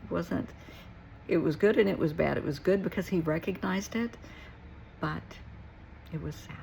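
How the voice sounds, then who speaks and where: Middle-aged female, lowish register with strong vocal fry, earnest reflective monologue, some background noise. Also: normal speed, a little mellow. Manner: earnest, emphatic, repeats short phrases for weight. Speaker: therapist and nurse. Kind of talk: reflective personal talk to listeners.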